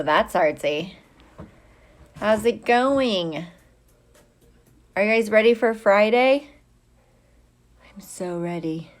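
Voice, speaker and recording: A middle-aged woman talks at an unhurried, slow pace in a mid-pitched voice. She chats casually like a teacher greeting students online, playful and drawing out her hellos. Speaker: teacher hosting a livestream for students. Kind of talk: casual livestream chat, greeting viewers by name.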